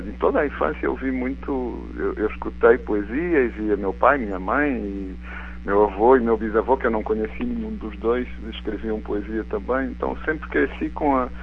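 A middle-aged man from Portugal with a European Portuguese accent softened by Brazilian sounds, speaking thoughtfully as a guest in a live radio interview. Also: medium pitch, slight background noise. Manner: thoughtful, searching for words with restarts. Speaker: guest author and biology professor. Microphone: telephone. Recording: tinny phone line.

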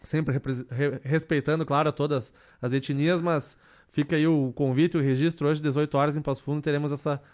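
A man in his thirties, a councilman, answering questions in a radio interview in a measured way, with many fillers and restarts. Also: a little high, fairly even intonation, a little mellow. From southern Brazil. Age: 30s. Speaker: city councilman, radio guest.

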